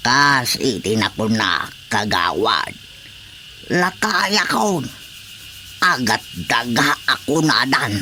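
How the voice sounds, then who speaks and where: A man playing a stubborn, resigned elderly village father in an Ilocano Filipino radio drama, with a drawn-out, emphatic delivery. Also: medium pitch, expressive, pushed and bright, slight background noise, exaggerated voice. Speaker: voice actor.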